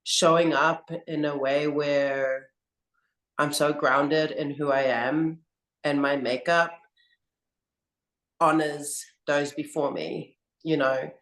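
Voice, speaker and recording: Woman, rugby coach, interview guest, slow, earnest, reflective, roomy echo. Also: deep voice, fairly even intonation, a bit bright.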